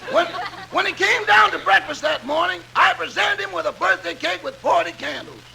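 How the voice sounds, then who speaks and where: Very gravelly, high-voiced comic servant. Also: male voice, normal speed, bright, forceful tone, exaggerated voice. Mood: dryly comic. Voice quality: very gravelly, rasping. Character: Rochester, put-upon wisecracking household servant. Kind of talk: 1950s radio comedy acting. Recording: noisy vintage radio recording.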